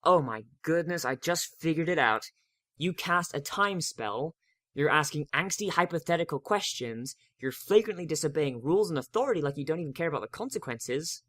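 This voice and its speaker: A man voicing an excitable young male baby dragon who scolds and exclaims with dramatic indignation. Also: fairly high pitch, moderate pace, no echo, clean studio sound. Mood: shocked, accusing.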